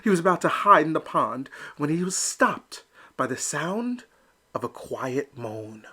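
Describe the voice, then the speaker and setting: A man reading a children's picture book aloud with slow, expressive storytelling. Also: a little high, husky. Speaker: storybook reader. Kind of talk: children's read-aloud narration.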